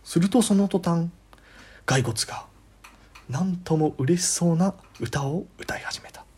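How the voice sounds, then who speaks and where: A man narrating a scripted ghost story in a theatrical way, at times dropping to a hush. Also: a little high, unhurried, a little hiss or hum.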